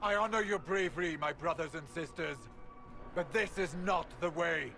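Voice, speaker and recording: A resolute male veteran warrior from a fantasy game. He is noble and formal, with a high, bright, forceful voice full of earnest appeal. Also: normal speed, vocal fry, faint music behind. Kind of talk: dramatic fantasy video game acting.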